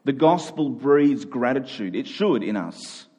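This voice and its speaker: A man's voice: medium pitch, animated, measured pace, dark tone. A man preaching a conversational sermon, earnest, repeating key phrases for emphasis.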